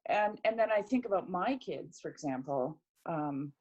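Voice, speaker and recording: A woman's voice: on the low side, lively intonation, moderate pace, darkish tone, slightly rough, highs cut off. A middle-aged woman from Canada in casual conversation, talking reflectively with many fillers and restarts. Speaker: podcast guest.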